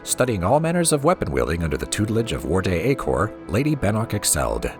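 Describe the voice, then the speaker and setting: Male narrator, low register, highly varied intonation, reading storybook prose over background music. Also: huge pitch swings, quick pace. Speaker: let's play host reading in-game books aloud.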